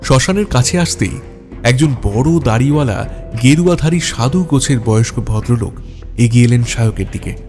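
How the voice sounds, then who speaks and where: Male narrator for suspense audio fiction, mid-range pitch, measured phrasing, light music bed. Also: expressive, a little mellow. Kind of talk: scripted detective story narration. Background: background music.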